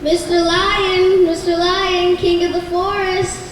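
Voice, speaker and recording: A woman voicing a small, timid rabbit in a puppet show, hesitant, halting and deferential. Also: extremely high pitch, flat delivery. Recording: very echoey noisy hall, distant mic.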